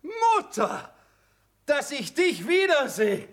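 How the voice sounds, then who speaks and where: Exuberant young soldier, very high male voice, swooping, slow stagey delivery. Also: wildly swooping pitch, fairly bright tone, full character voice. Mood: joyful, boastful. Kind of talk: stage-style radio drama acting.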